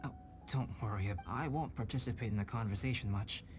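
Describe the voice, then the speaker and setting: A man voicing a calm, scholarly young alchemist in a video game, measured, formal and polite. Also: on the low side, lightly acted. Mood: thoughtful, sincere. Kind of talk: video game cutscene voice acting. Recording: game music behind voice.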